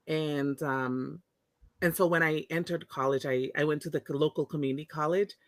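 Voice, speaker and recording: A woman's voice: low voice, steady tone, normal speed, no room sound, studio quality. A woman from Tonga, an interview guest telling her personal story in a reflective, candid way, often restarting her sentences. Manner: reflective, frequent restarts and self-corrections.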